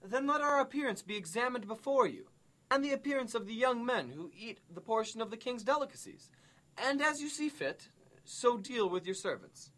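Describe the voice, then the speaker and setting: A woman's voice: fairly high pitch, expressive, normal speed, fairly bright tone. A woman playing a respectful, earnest young Hebrew captive, speaking formally and courteously in a dramatized Bible reading.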